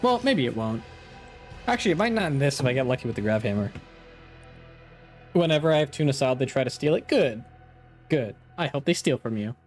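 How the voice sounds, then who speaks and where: A man's voice: mid-range voice, sing-song, a little fast, pauses often, darkish tone, faint music behind. A man live-streaming a game, chatting casually, swearing now and then, reacting to play and reading chat aloud, a bit sniffly.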